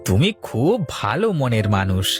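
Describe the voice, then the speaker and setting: A man's voice: fairly low pitch, measured pace, over music. A man playing a friendly cartoon customer, chummy and full of admiration. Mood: admiring. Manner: chummy, flattering. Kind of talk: cartoon story dialogue.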